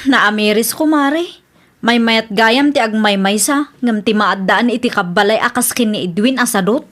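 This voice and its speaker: A woman's voice: fairly high pitch, unhurried, fairly bright tone. A woman acting in an Ilocano radio drama as a fed-up, blunt wife with an Ilocano accent. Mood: weary. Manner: exasperated, blunt. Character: fed-up young widow complaining about her lazy second husband.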